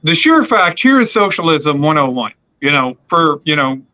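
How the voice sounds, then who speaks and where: A man's voice: a little high, very animated, normal speed, pushed and bright, lo-fi sound. A man in his forties hosting a casual conversation, rambling with lots of fillers and restarts. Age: 40s. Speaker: podcast host.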